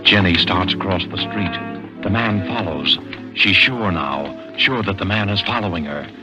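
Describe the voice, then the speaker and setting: An actor playing an ominous, deliberate narrator of a 1940s radio crime thriller. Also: male voice, deep voice, expressive. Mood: ominous, suspenseful. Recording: dull vintage radio broadcast recording. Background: dramatic music.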